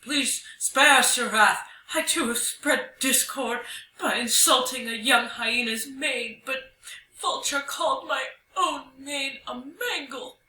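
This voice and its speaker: A woman's voice: high voice, slow, sharp tone, reverberant, far from the mic, a little hiss or hum, pitched above the performer's own voice, full character voice, poor audio. A woman voicing a frightened male lion in a storybook, confessing humbly in formal, overwrought pleas. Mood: pleading, tearful.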